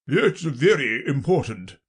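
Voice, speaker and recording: A blustering old king as a narrator acts him out, pompous and muddled. He speaks loudly and emphatically, with big swings in pitch and a rough edge. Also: sing-song, measured pace.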